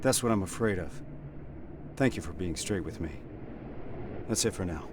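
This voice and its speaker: An actor playing a stern, dutiful resistance squad sergeant with a commanding military manner in a video game. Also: male voice, low voice, lively intonation, moderate pace, noisy background. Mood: grave, probing. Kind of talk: dramatic cutscene acting. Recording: game music behind the voice.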